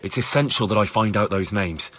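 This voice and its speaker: A man's voice: on the low side, fairly quick, fairly bright tone. A man acting a plain-spoken young investigator in a video game, dogged and a little wry. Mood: insistent.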